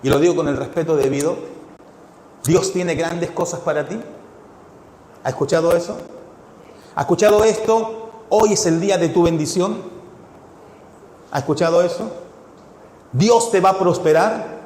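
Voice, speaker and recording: Man preaching, high-pitched, mixed Latin American accent, fervent, recorded in a reverberant church hall. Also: moderate pace, long pauses. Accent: mixed Ecuadorian, Chilean and Mexican Spanish. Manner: preaching with rhetorical questions, rising to fervent shouts. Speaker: pastor. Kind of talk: sermon. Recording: echoey hall with long reverb.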